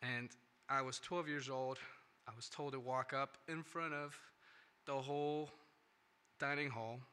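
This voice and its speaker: Bright-voiced young man giving testimony. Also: medium pitch, steady tone, measured pace, clean tone. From India. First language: non-English first language. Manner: earnest, rambling with restarts and "right?" tags. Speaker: church speaker. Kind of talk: personal testimony talk. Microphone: handheld or stand microphone.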